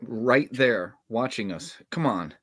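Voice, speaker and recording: A man's voice: medium pitch, animated, takes it slowly, a bit bright, no room sound, studio quality. A man playing an uneasy, insistent young camp counselor in a horror script table read, with casual, hesitant delivery.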